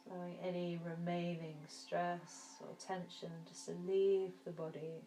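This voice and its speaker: A woman teaching yoga nidra, guiding a relaxation meditation in a soft, soothing, gentle manner with long pauses. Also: on the low side. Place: indoor room. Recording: roomy echo, faint background noise.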